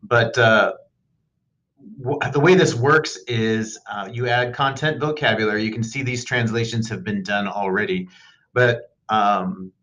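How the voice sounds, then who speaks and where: A man with a lowish voice talks through a spreadsheet demo for teachers. His delivery is relaxed and unscripted, dotted with ums and uhs as he clicks along. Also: measured pace, low-quality recording. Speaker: teacher demonstrating software. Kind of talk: screen-recorded tutorial.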